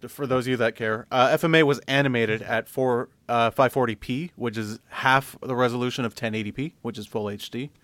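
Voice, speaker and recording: Young male co-host, mid-pitched, techy and jokey, dry close sound. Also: fairly even intonation, a little fast, hardly any pauses, a bit bright, dry recording. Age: 20s. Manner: technical, joking, laughs often. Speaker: podcast co-host. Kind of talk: casual podcast chat.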